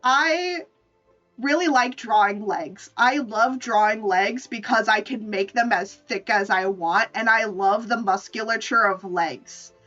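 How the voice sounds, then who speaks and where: High-voiced woman streamer, muffled and distant. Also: a little slow, pushed and bright, soft background music. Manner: playful, informal, swears freely. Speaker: streamer, VTuber artist. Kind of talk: casual livestream chat showing drawings.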